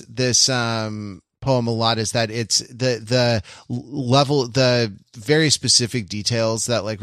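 A man co-hosting a podcast, discussing literature casually in a halting way, often repeating and interrupting himself. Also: mid-range voice, a bit bright, clean tone, no room sound, clean studio sound. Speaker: podcast co-host.

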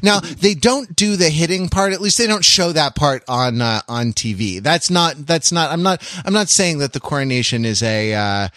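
A man's voice: a little high, lively intonation, normal speed, few pauses, bright, forceful tone, no room sound, studio quality. A man co-hosting a casual comic panel chat, telling stories in a wry, rambling, digressive way. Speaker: podcast co-host.

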